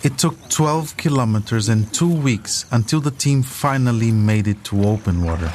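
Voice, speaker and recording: A man with a mid-range voice narrates a documentary story from a script. Clear ambient noise runs behind his words throughout. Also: medium pitch, normal speed. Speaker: podcast narrator.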